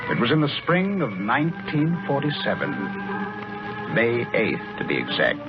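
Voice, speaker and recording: An actor playing a grave, haunted army colonel in a 1940s radio drama, speaking earnestly and dramatically. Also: male voice, medium pitch, huge pitch swings, moderate pace. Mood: brooding, reminiscent. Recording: muffled old radio recording with music.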